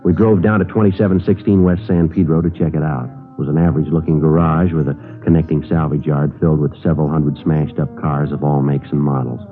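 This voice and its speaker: An actor playing a businesslike police sergeant narrating in a clipped, deadpan, matter-of-fact way for 1950s radio. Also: male voice, rumbling low, fast, hardly any pauses, soft background music. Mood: deadpan, factual. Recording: phone-like vintage radio broadcast.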